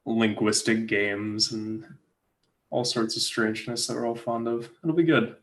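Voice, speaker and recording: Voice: medium pitch, fairly even intonation, a little slow. A podcast co-host trading short, playful quips in casual chat.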